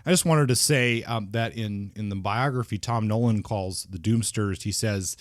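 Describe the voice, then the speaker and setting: A man co-hosting a podcast, talking through a crime novel in a thoughtful, halting way with frequent restarts. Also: lowish voice, no echo, clean studio sound. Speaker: podcast co-host. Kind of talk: casual book discussion.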